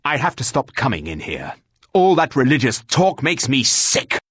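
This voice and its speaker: A man voicing a gruff, sardonic warrior hero in a video game, speaking in a formal, deadpan, husky way. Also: medium pitch, normal speed, a little hiss or hum. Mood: curt.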